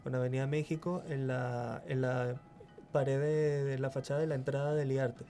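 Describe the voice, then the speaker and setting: Hesitant, mellow-voiced man, faint music behind. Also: mid-range voice, level tone, moderate pace. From Chile. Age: 40s. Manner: hesitant, repeats words while thinking. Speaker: guest muralist. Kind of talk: radio interview.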